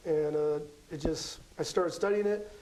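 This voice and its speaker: A man's voice: on the high side, moderate pace, darkish tone, poor audio. An American man chatting as an interview guest, explaining things in a casual, conversational way. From Las Vegas, United States. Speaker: guest astrology card reader.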